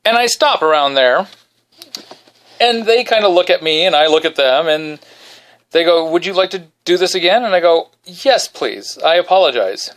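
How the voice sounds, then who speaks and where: A man hosting a podcast from his bedroom, telling a self-deprecating story in a casual, animated way and singing short snippets quietly. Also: moderate pace. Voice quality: baritone. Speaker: podcast host.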